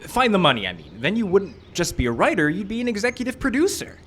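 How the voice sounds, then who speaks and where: A man performs an eager young would-be film director in a live radio play. He is glib, wry and pushy, with pitch that leaps all over the place, over noisy outdoor stage sound. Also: wildly swooping pitch, quick pace, a bit bright, full character voice. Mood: coaxing, excited. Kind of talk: live radio drama acting. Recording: live outdoor stage recording, background noise.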